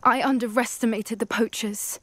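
Earnest young British witch, high voice. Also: steady tone, moderate pace, fairly bright tone, dry recording. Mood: grave, troubled. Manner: earnest, articulate. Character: earnest teenage witch devoted to protecting magical creatures. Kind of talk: video game cutscene acting. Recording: music underneath. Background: music.

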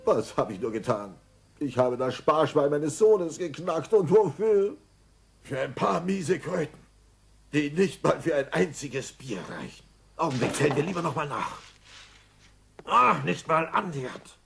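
An actor voicing a bumbling, self-pitying middle-aged cartoon father, gravelly, whiny and melodramatic. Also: on the high side, expressive, unhurried, fairly bright tone, heavily acted. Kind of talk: dubbed cartoon dialogue.

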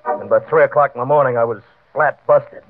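A man's voice: mid-range voice, a little fast, close to an everyday voice. Man playing a desperate small-time gambler, tinny old radio. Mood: brooding. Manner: colloquial, tough-guy slang, stammering under pressure. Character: desperate, nervous small-time doorman turned gambler, narrating his downfall. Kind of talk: old-time radio crime drama acting. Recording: vintage radio, narrow band, faint music.

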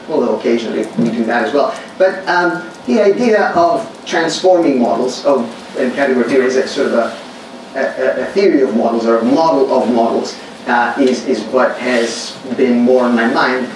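A man from Greece with a Greek accent, giving a thoughtful academic lecture with many pauses and fillers, recorded in a large lecture hall from a distant mic. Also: medium pitch. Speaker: workshop speaker. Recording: echoey hall recorded from far off, noisy.

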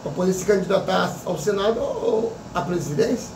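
A man's voice: sits high, normal speed, gravelly, reverberant, recorded from a distance, noisy background. A man in his fifties, a psychic vlogger giving an opinionated casual monologue full of repetition and rhetorical questions. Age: 50s.

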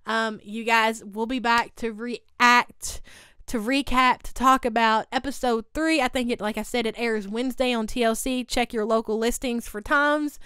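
A woman's voice: fairly high pitch, fairly even intonation, normal speed, bright, forceful tone, smooth, dry recording, clean studio sound. A woman, recapping a TV show in a casual, gossipy way on her channel. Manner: chatty and gossipy, lots of "like" and "y'all". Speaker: YouTube recap host. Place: home studio. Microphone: desk mic beside her.

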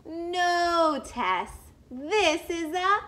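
A woman reading a picture book as a patient boy who corrects firmly, with deliberate emphasis. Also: very high voice, animated, a bit bright, voice pushed up, full character voice. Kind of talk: children's picture book read-aloud.

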